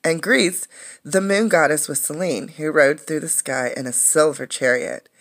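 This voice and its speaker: Woman reading aloud with a creaky voice. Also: sits low. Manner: explanatory, pausing to comment and ask questions. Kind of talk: interactive read-aloud of a children's science book.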